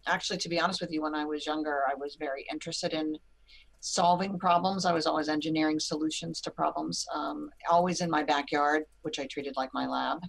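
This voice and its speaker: A woman from Pittsburgh, a museum science educator, speaking candidly at a panel with hesitant pauses. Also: deep voice, fairly even intonation, creaky, rough recording. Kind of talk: panel remarks.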